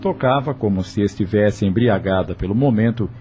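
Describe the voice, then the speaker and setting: A man's voice: lowish voice, normal speed. A man narrating a dramatic audio novel as a scripted storyteller. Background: background music.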